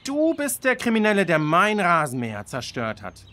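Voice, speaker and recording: Irate, bullying dad for kids' radio play; sharp, forceful, wide swings in pitch. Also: male voice, huge pitch swings, moderate pace, heavily acted. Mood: angry, indignant. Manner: indignant, scolding, accusatory. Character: angry, bullying well-off father in a suit. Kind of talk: children's audio drama acting.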